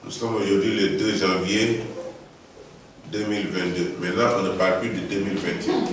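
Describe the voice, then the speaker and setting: A male pastor preaching a sermon while suffering from a head cold, speaking slowly and deliberately with emphatic rises. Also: low-pitched, flat delivery. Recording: very echoey, distant, faint background noise.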